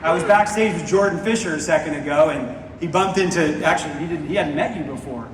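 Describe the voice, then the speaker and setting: High-voiced man presenting in echoey hall. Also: fast talker. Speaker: presenter on stage at a company event. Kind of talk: upbeat stage keynote presentation. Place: large indoor auditorium stage, recorded from audience. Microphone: distant phone in audience picking up PA. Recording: echoey hall from far off, loud crowd, noisy.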